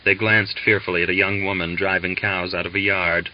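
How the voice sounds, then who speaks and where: A man's voice: deep voice, level tone, fairly quick, dull audio. A man reading a novel aloud as scripted literary narration for an audiobook. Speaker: audiobook reader.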